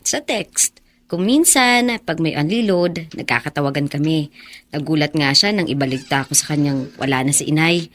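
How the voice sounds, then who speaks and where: An actress with a Filipino accent playing a poor, orphaned young bar hostess in a radio drama, wistful and soft-hearted. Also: female voice, deep voice, normal speed. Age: young adult.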